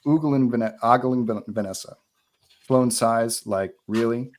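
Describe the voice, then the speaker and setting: A man's voice: mid-range voice, measured pace. A man reading out screenplay stage directions as narrator at a live table read.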